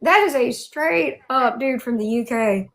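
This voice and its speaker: A woman's voice: sits high, expressive, measured pace, creaky. A woman with a Southern American accent, interviewing in a casual chat with an effusive, colloquial, emphatic manner. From southern United States.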